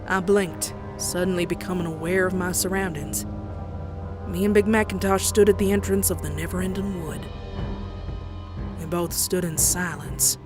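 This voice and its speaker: A woman's voice: on the low side, lightly acted. A woman playing an anxious young farm pony with a Southern US accent, telling a horror tale in a folksy, uneasy way. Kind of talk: dramatic first-person horror narration and dialogue. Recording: prominent music behind the voice. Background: music.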